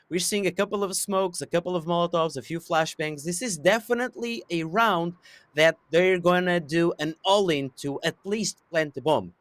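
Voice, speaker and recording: A man giving casual, excitable color commentary on an esports match, thinking aloud as he breaks down the teams' plans. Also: high voice, dry recording. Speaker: esports co-caster.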